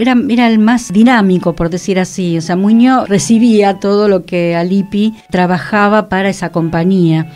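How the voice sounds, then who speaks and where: A woman's voice: medium pitch, darkish tone. A woman with an Argentine accent presenting on radio, explaining theatre history informally through anecdotes.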